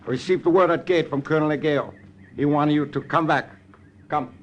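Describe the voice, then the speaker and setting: A man playing a gruff enemy sentry in an old 1940s radio play. He barks in clipped, broken phrases at a raised pitch, over faint noise on the vintage recording. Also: moderate pace, close to an everyday voice. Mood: impatient, barking. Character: gruff, bossy enemy sentry, a male soldier. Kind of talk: 1940s American radio drama acting.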